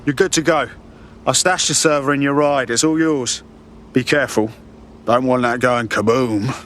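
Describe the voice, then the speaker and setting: Casting: man plays streetwise British resistance recruit, slangy, mid-pitched, faint street noise behind, game cutscene. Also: fairly even intonation, normal speed, fairly bright tone, lightly acted. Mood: matter-of-fact. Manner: colloquial, slangy. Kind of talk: video game cutscene acting.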